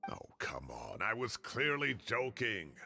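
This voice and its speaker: Blustery, creaky old professor. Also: male voice, fairly low pitch, huge pitch swings, measured pace, bright, forceful tone, faint music behind, full character voice. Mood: indignant. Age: older. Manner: blustering, exasperated, dramatic. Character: indignant, theatrical elderly male retired professor. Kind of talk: video game voice acting.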